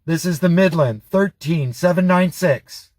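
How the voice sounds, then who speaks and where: A man doing radio test calls and product review narration in a plain, measured way. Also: on the high side, darkish tone. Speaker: host of a radio review channel.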